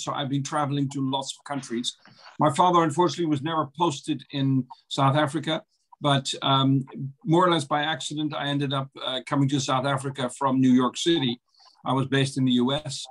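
Dutch male guest, 50s, webcam audio, slow hesitant delivery with fillers. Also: mid-range voice, a bit bright, low-quality recording. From Netherlands. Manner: measured, hesitant, many fillers. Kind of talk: video call interview. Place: office, video call. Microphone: webcam on a video call.

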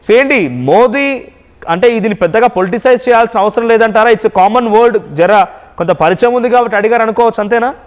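Male news anchor, high-pitched voice, live discussion delivery, reverberant recording. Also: brisk, a little hiss or hum. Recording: reverberant hall-like sound.

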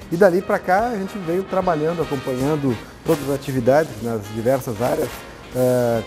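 A man answering interview questions in a measured, hesitant way. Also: mid-range voice, low-quality recording. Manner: measured, hesitant with many fillers. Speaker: guest. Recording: faint music under the voice.

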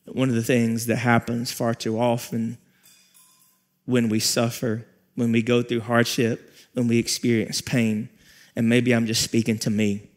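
A man in his forties preaching a sermon on a large church stage, with repeated phrases, pauses and rising emphasis. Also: medium pitch, monotone. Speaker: pastor.

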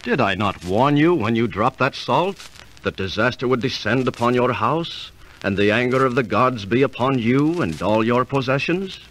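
An actor playing a pious, reproving companion in an old radio drama, speaking solemn admonitions in formal archaic language. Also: male voice, medium pitch, animated, moderate pace, fairly bright tone, lightly acted, rough recording. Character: pious, reproving companion of a merchant, big man. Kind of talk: golden-age radio drama acting. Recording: old radio recording with faint noise.

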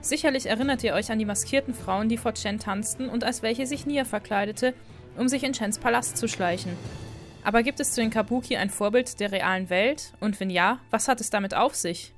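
Higher-voiced woman narrating an explainer. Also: fairly quick. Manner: friendly, apologetic about pronunciation. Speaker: wiki video host. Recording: voice-over with faint background music.

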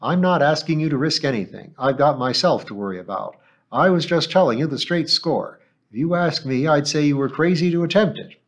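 A man's voice: lively intonation, fairly quick. A male audiobook narrator voicing a shrewd, anxious old woman shopkeeper who complains and dodges questions. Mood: alarmed. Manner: shrill, complaining, evasive.

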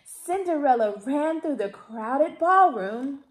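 A woman in her thirties reads a children's picture book aloud expressively, with deliberate, theatrical emphasis. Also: sits high, animated. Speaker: library storytime reader. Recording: roomy indoor echo.

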